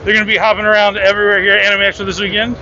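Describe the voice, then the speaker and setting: A man's voice: high-pitched, monotone, rapid-fire. A young man giving an upbeat walking tour of a convention booth, talking into a handheld mic with many fillers. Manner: upbeat and promotional, frequent fillers while walking. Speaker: booth guide for a card game company. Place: busy convention hall indoors. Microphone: handheld mic with windscreen. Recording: dull audio with loud crowd noise.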